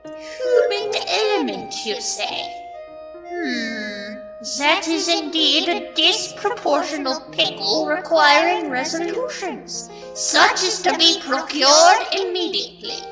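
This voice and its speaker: A performer voicing a gleefully insane, pompous captain in a theatrical, drawn-out comic dub style. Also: extremely high pitch, animated, exaggerated voice. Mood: pompous, pondering. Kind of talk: comic dub character acting. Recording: long reverb, loud music behind. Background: music.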